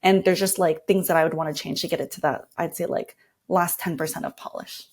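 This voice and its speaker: A woman's voice: sits low, steady tone, brisk, a little mellow, husky. A woman speaks as a guest designer demonstrating her workflow. She is casual and informal, thinking aloud while she explains each step of what she is doing. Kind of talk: casual screen-share walkthrough.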